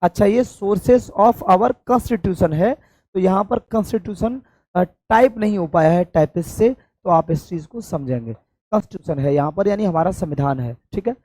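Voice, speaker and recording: A hoarse man from India, teaching an exam-prep lesson and stressing points by repeating them. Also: sits high, normal speed, dark tone, dry recording, low-quality recording. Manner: addresses students affectionately, emphatic repetition. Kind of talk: classroom-style exam coaching lecture.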